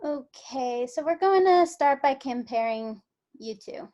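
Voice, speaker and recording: A woman's voice: sits high, expressive, a little slow, warm tone, highs cut off. A woman reading the part of a bossy, scornful alien examiner, dry and blunt, at a script table read. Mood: brisk, official. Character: scornful, bossy female alien examiner testing abducted humans.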